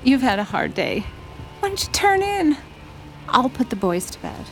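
Anxious, high-voiced, submissive wife. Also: female voice, sing-song, normal speed, music in the background, poor audio. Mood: meek, placating. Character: meek, placating wife and protective mother. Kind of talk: audio drama acting.

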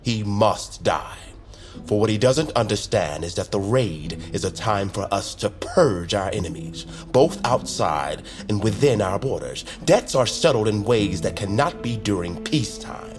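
A scheming master of assassins in a fantasy audio drama, played by a man, speaking slowly and conspiratorially. His delivery swings widely and theatrically through a measured, grave performance, with music underneath. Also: lowish voice, animated. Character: scheming bird-folk master of a secret assassin order. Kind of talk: dramatic audio fiction acting.

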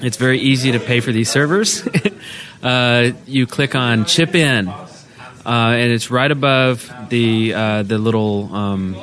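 A man's voice: medium pitch, a little slow, a bit bright. A man explaining things casually as a podcast co-host. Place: indoors at a LAN party.

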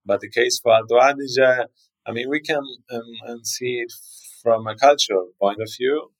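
A man's voice: medium pitch, normal speed, rough recording. A man from South Tyrol with a South Tyrolean German accent, a native German speaker, talking in a podcast interview and often repeating words as he searches for the English. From South Tyrol, Italy. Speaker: winery guest.

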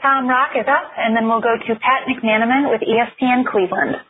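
A woman's voice: fairly high pitch, fairly even intonation, a little fast. A woman moderating a telephone conference call, briefly announcing who speaks next. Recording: phone-line sound, faint noise.

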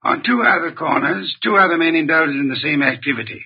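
Pompous, high-voiced male detective genius. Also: animated, quick pace, husky, full character voice. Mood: measured, expository. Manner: formal, precise, pompous diction. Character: pompous, deliberate, eccentric middle-aged genius detective. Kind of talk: old-time radio drama acting. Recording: muffled vintage radio recording.

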